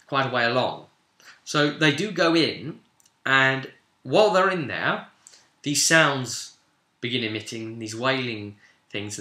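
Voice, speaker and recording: Bright, forceful British man in echoey room. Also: medium pitch. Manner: informal storyteller, explanatory with frequent asides. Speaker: game master. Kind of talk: casual recap of a tabletop role-playing session.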